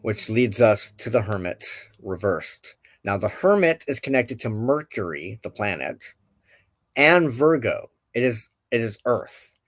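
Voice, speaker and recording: A man hosting a spiritual tarot reading, speaking reflectively in deliberate phrases with frequent pauses. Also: lowish voice, big pitch swings, no echo. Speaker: host of a spiritual tarot show. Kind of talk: tarot card reading, reflective spoken commentary.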